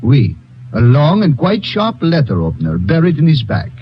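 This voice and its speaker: A man's voice: a little high, huge pitch swings, moderate pace, a little hiss or hum. An actor playing a shrewd, suspicious male French police inspector with a French accent, speaking in formal, clipped phrases in a vintage radio drama. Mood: stern. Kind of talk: 1950s radio drama acting. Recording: dull lo-fi vintage radio recording.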